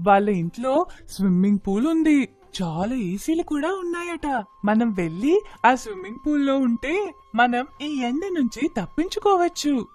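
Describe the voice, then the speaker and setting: A woman's voice: sits high, animated, moderate pace, darkish tone, soft background music. A woman voicing an earnest, wistful poor young boy in a moral story. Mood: eager scheming. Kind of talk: acted story dialogue.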